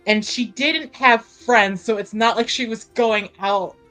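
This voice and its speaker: A woman's voice: on the high side, a little slow, sharp tone, faint music behind. A woman playing a tabletop roleplaying game, chatting informally with some swearing as she thinks aloud and narrates her character.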